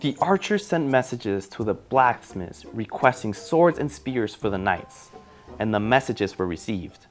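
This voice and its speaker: A woman's voice: a bass voice, very animated, measured pace, faint music behind. A woman reading scripted story narration for a children's play.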